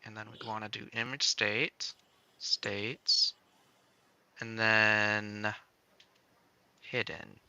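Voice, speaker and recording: A man hosts a casual software tutorial stream with a very bright, clean voice. He thinks aloud as he goes from step to step in a playful way. The sound is dry, with hardly any room in it. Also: fairly low pitch, level tone, drawn-out words, pauses often, no echo. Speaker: livestream host demonstrating game-making software.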